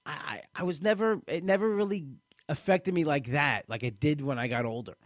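Halting late-thirties man, dry sound. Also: fairly high pitch, dry recording. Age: late 30s. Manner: halting, many restarts and repeated words. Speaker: weight-loss program member. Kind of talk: personal testimonial talk.

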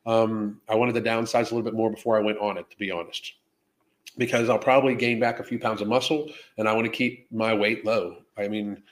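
A man's voice: lowish voice, steady tone, fairly quick. A man hosting a casual live Q&A, talking off the cuff in a blunt, heavily profane way. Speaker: live Q&A host. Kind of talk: casual unscripted livestream Q&A, reading and answering viewer questions.

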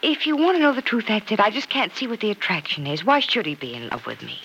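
Casting: gentle, self-doubting sister, very varied pitch, tender, vintage radio with faint noise. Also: female voice, huge pitch swings, fast talker. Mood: doubtful. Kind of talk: old-time radio drama acting. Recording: noisy vintage radio recording.

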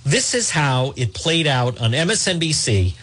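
A man delivers opinionated political commentary as a talk show host. His voice sits a little high and moves around a lot in pitch. He talks in halting, repetitive bursts, circling back on his points as he addresses his listeners. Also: sing-song, fairly bright tone.

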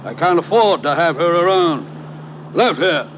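An actor playing a polite but menacing criminal mastermind, formal and precise, in a 1950s radio adventure. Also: male voice, a little high, animated, a little slow, a bit bright. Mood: cold, commanding. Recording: dull, faintly noisy old radio recording.

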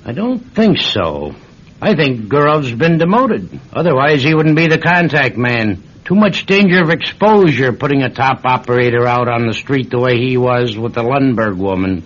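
A man's voice: mid-range voice, big pitch swings, normal speed. A man playing a blunt, cynical intelligence boss in a radio drama, speaking in a brusque, assured, colloquial way. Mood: confident. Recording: dull old-style radio recording.

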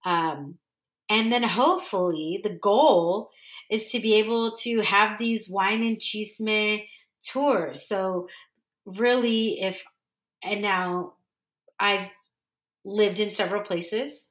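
A woman's voice: medium pitch, slow pace, a bit bright, reverberant. A woman from San Diego hosting a podcast, talking in a rambling, earnest way and often correcting herself. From San Diego, California. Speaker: podcast host. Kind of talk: casual solo monologue.

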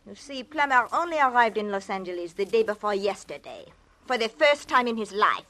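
A woman's voice: medium pitch, big pitch swings, a little fast, pushed and bright. A woman playing a seductive, dangerous femme fatale with a thick French accent and purring, theatrical delivery, in 1940s-style radio drama. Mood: menacing.

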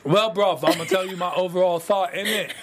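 A man co-hosts a casual recap in a playful put-on London street accent, leaning hard on the slang. His voice sits fairly high for a man. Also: fairly even intonation, brisk, fairly bright tone, breathy edge. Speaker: co-host.

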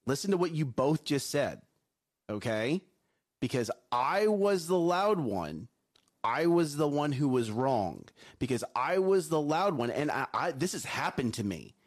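Loud emphatic man giving life advice. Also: on the high side, sing-song, measured pace. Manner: emphatic, repeats himself, asks "okay?" and "right?". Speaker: morning show co-host and coach. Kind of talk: casual chat, preachy life advice.

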